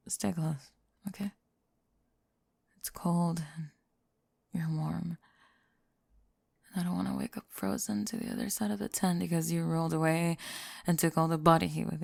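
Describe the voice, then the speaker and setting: A woman playing a prickly but secretly affectionate tomboy friend in an ASMR roleplay, sleepy and often whispering, deadpan and grudgingly tender. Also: lowish voice, vocal fry, dry recording, pitched below the performer's own voice, heavily acted. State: drowsy. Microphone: close ASMR microphone.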